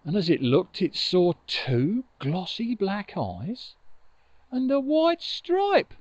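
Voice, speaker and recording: Older male storyteller, conversational, lightly high-pitched, slow delivery with dramatic pauses. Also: wildly swooping pitch, a little mellow, no echo, highs cut off. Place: outdoors by a river in open countryside. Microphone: lapel mic with furry windshield.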